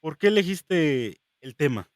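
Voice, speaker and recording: A man's voice: on the high side, very animated, unhurried, dry recording, studio quality. A man from Mexico hosting a podcast, chatting in a friendly, upbeat way with his guest. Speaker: podcast host.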